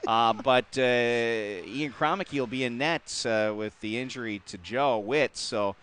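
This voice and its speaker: A man from the Upper Midwest hosting a local hockey talk show, chatty and quick to laugh, with many filler words. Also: medium pitch, fairly bright tone, smooth. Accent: Upper Midwest American. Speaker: host. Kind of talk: casual sports talk.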